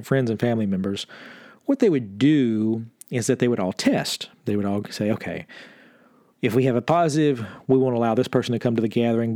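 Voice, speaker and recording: A man with a mid-pitched voice talks as a podcast host, explaining the news on his own. His delivery is conversational and informal, laying things out step by step. The sound is dry, with no room around the voice. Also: mellow, no room sound. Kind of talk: solo news commentary, explaining figures.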